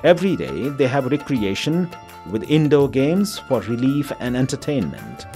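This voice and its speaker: A man narrates a documentary in a measured, scripted read. His pitch moves a lot through each line, and music plays clearly beneath him. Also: big pitch swings, mellow. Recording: voice-over over background music.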